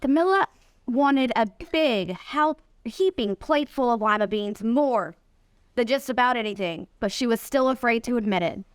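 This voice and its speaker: Female storybook reader, high-pitched, expressive delivery for children, close dry sound. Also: normal speed, smooth, dry recording. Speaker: volunteer reader, nonprofit executive. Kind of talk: read-aloud of a children's storybook.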